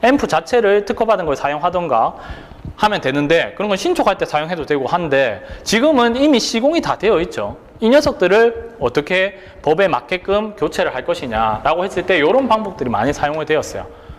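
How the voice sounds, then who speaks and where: A man's voice: high-pitched, sing-song, fairly quick, big-room sound. A male instructor giving an exam prep lecture, posing rhetorical questions and checking that students follow along.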